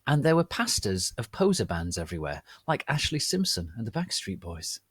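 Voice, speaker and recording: A man giving a theatrical, deliberate dramatic reading of a story as its narrator. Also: fairly low pitch, very animated, husky, no room sound, studio quality. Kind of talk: dramatic reading of fan fiction.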